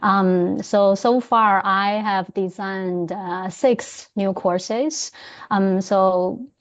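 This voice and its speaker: A woman from China with a Chinese accent, answering interview questions conversationally, pausing to think as she goes. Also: medium pitch, fairly even intonation, lo-fi sound. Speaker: interview guest, history professor.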